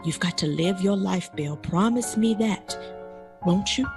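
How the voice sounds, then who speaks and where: A woman's voice: mid-range voice, a little slow, darkish tone, soft background music, lightly acted. A woman acting in an audio drama as a loving, insistent, long-suffering middle-aged mother of a grown son. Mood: pleading, firm.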